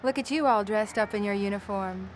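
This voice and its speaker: A woman's voice: mid-range voice, fairly quick, noisy background, lightly acted. An actor playing a bitter housewife who mocks her husband with sarcasm, in an amateur short film. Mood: sarcastic. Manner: sarcastic, mocking.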